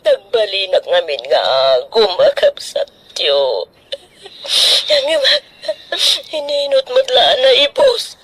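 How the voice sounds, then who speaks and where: A woman's voice: medium pitch, wildly swooping pitch, slow pace, a bit bright, heavily acted. A woman with an Ilocano accent acting an anguished, bitter sick character who pleads tearfully in a radio drama.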